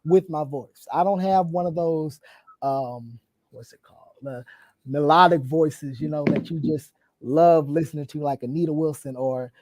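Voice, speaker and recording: Brief young man, rough voice, reflective and earnest, mellow tone, dry close sound. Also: fairly high pitch, moderate pace, dry recording, poor audio. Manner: earnest, reflective, many ums. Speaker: church worship leader and singer. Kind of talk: casual panel chat.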